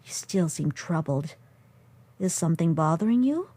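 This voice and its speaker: A woman voicing a caring, motherly monster in a fan comic dub, speaking softly and formally. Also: low-pitched, animated, normal speed, husky, dry recording. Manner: soft, formal, careful speech without contractions.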